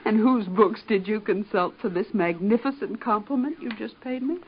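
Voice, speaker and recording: A woman's voice: mid-range voice, normal speed, a little hiss or hum, lightly acted. Actress as ladylike radio-soap wife, muffled recording. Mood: wry. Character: spirited young wife of an English lord, affectionate. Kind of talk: 1940s radio soap acting. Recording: dull, band-limited vintage radio recording.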